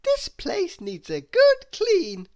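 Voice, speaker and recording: A very high, chirpy storybook little miss, bossy and eager to help. She is insistent and overbearing, with big swoops in her pitch. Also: huge pitch swings, slow, muffled recording, full character voice. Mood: bossy, cheery. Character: bossy, eager, overbearing young cartoon woman helper. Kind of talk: children's storybook character voice.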